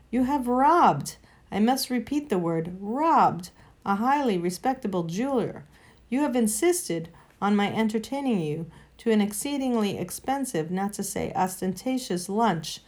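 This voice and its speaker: A woman voicing a pompous, indignant older gentleman airing long-winded grievances. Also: mid-range voice, lightly acted.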